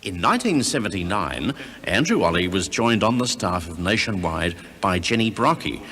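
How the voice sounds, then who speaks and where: A man's voice: low voice, very animated, moderate pace, a bit bright, breathy edge. A man with an Australian accent reading scripted narration for a documentary tribute.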